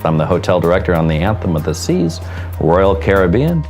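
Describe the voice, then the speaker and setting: A man's voice: low-pitched, sing-song, a little fast, a little mellow. A middle-aged man with an American accent, speaking as a hotel director in interview soundbites for a promotional film. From Seattle, United States. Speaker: cruise ship hotel director. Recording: music behind the voice. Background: background music.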